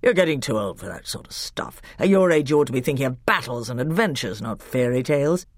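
A cold, stern king lays down the law in a low, deliberate voice, as a woman reading aloud puts him on. The sound is close and dry. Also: lively intonation, no echo. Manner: stern, slow and commanding. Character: stern, cold middle-aged male king.

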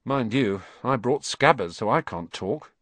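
A man's voice: mid-range voice, animated, measured pace, a bit bright, dry recording. A man voicing a blunt, cheeky young schoolboy with an offhand way of talking.

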